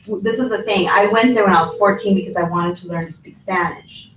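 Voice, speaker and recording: A woman's voice: medium pitch, steady tone, brisk, fairly bright tone. A woman hosting a casual chat interview, reacting in short exclamations and asking conversational questions. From born in Mexico, raised in the United States. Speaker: show host, interviewer. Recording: roomy, distant mic, faint background noise.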